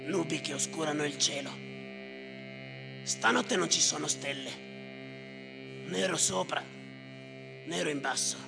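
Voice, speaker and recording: A haunted, embittered official speaks in a very gravelly, slightly raised voice. The monologue comes in short, incantatory phrases with insistent repetition. It rings through a theatre hall with music behind it. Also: male voice, on the high side, slow, long pauses, heavily acted. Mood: grim, intense. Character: haunted, embittered male official narrating drownings at sea.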